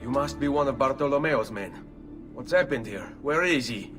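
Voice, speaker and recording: An actor playing a composed young Florentine nobleman assassin in a video game, speaking with an Italian accent in a measured, polite, restrained way. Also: mid-range voice, lively intonation, over music, natural voice. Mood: calm, concerned. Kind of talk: video game cutscene acting.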